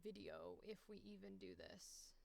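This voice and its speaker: A woman's voice: medium pitch, huge pitch swings, measured pace, creaky, a little mellow. A woman talking casually and thinking aloud through a screen-share walkthrough, blunt, self-deprecating and prone to swearing. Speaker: voice actor giving a walkthrough.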